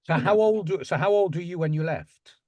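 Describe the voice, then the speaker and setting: A man's voice: a little high, lively intonation, normal speed, a little mellow. A male interviewer asking short, probing questions.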